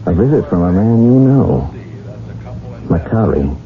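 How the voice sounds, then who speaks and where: A man's voice: sits low, big pitch swings, unhurried, full character voice. A man acting in a 1970s radio drama as an earnest, insistent husband who seeks the truth, solemn and dramatic. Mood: grave, probing. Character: earnest husband demanding the truth, narrating his past. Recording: tinny, band-limited 1970s radio recording.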